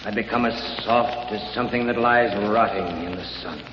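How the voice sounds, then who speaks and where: An actor playing a hopeless, dazed townsman in a 1930s radio drama, speaking in an anguished, halting way. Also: lowish voice, lightly acted. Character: broken, despairing townsman, man, hopeless and dazed. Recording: echoey, dull, very noisy 1930s radio.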